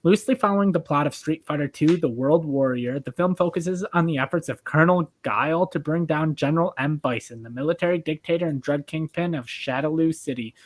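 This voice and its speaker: A man's voice: on the high side, brisk, few pauses. A man hosting a podcast, casually reading out background information. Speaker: podcast host and judge.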